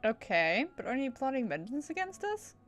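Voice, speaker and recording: A woman's voice: sits high, very animated, a little fast. A woman streaming a game with casual commentary, playful, reactive and easily distracted. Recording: faint game music behind.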